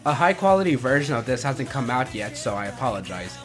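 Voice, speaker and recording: Man commentating over loud music. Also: medium pitch, sing-song, a little fast. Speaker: countdown video host. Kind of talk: casual video commentary.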